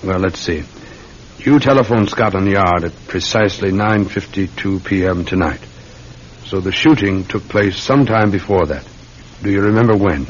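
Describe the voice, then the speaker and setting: An actor playing a dutiful, methodical British police sergeant in an old radio drama, polite and measured. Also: male voice, deep voice, close to an everyday voice. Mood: patient, probing. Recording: dull, noisy old radio recording.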